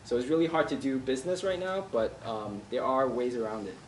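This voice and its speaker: A young man giving a casual tech talk at a meetup, with many fillers and pauses. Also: medium pitch, a little hiss or hum. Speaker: meetup presenter. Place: office meeting room with audience. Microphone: far camera. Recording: roomy sound from far off.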